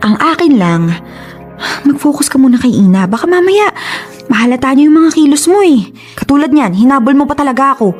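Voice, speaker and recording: A woman's voice: high voice, animated, a little fast. A woman with a Filipino accent playing a young, guilt-ridden older sister in a radio drama, hesitant and pleading as she makes excuses. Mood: agitated, scolding. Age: young adult. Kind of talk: Tagalog radio drama acting. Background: music.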